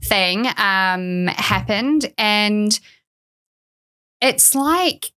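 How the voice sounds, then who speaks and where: Kiwi woman guest on a podcast, earnest, says like a lot, middle pitch. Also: animated, very slow, pushed and bright. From New Zealand. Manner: earnest, laughs often, frequent "like". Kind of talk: conversational interview.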